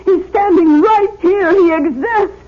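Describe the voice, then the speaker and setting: Very high-pitched, distraught young woman. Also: unhurried. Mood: bewildered, insistent. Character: young distraught, pleading woman doubted by her family. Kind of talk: 1970s radio drama acting. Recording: dull, noisy vintage radio broadcast.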